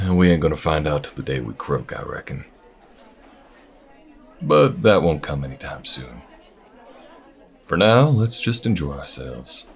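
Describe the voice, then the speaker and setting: Man acting a very deep-voiced, folksy alien bounty hunter. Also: gravelly, breathy edge, full character voice. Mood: musing. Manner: folksy drawl-like phrasing, teasing, unhurried. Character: easygoing male alien bounty hunter, wry, folksy frontier companion. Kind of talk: audio roleplay addressed to the listener. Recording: restaurant chatter behind. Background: restaurant crowd ambience.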